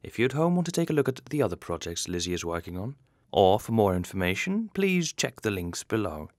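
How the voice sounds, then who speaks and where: A man's voice: on the low side, very animated, a little fast, no echo. A man hosting an interview, reading out scripted questions. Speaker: interviewer and host.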